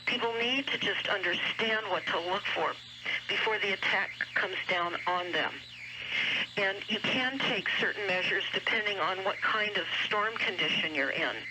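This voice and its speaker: A woman giving an earnest, emphatic explanatory talk on a shortwave radio broadcast, speaking deliberately. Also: mid-range voice. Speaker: radio show guest commentator. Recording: noisy shortwave radio broadcast, heavy static. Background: loud radio static and noise.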